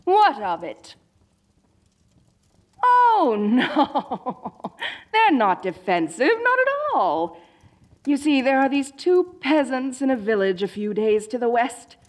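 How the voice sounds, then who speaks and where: Woman playing a high-voiced dorky evil queen. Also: big pitch swings, slow pace, pauses often, full character voice. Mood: defensive. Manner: theatrical, gloating, mock-menacing. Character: dorky self-styled evil sorceress queen, gleeful and petulant. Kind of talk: comedic scripted audio roleplay addressed to listener.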